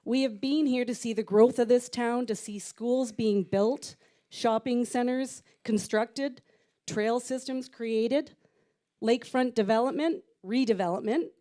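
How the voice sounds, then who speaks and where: A woman giving an earnest, measured campaign speech as a council candidate in a community rec center. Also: fairly high pitch, mellow. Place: community recreation center hall.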